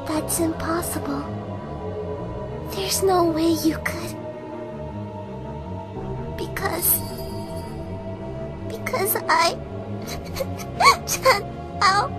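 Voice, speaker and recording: Woman acting tearful, very high-voiced frightened girl. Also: animated, over music, heavily acted. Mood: despairing, tearful. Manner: slow, tearful, halting delivery. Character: frightened, tearful young girl, trembling and despairing. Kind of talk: visual novel fan dub acting.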